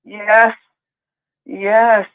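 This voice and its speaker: A woman's voice: medium pitch, level tone, extremely slow. A woman with a Canadian accent calling in to a talk show, chatting eagerly and laughing often. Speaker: caller. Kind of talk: casual call-in chat. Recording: phone line.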